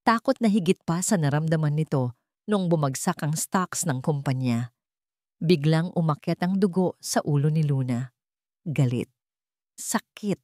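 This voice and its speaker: Casting: female storyteller, lowish pitch, solemn dramatic scripted narration, dry studio-like sound. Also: mellow, no echo.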